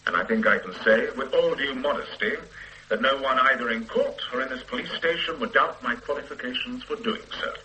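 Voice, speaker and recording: An actor playing a pedantic, self-satisfied forensic scientist who lectures the police in a radio drama. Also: male voice, mid-range voice, a little fast, bright, forceful tone, slight background noise, natural voice, rough recording. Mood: lecturing. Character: pompous middle-aged male forensic scientist. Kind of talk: 1970s radio drama acting.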